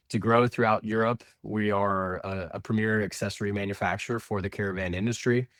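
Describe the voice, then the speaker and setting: A man's voice: on the low side, no echo, clean studio sound. A man from Indianapolis, answering interview questions about his family business in an explanatory way with frequent restarts. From Indianapolis, USA. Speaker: company representative.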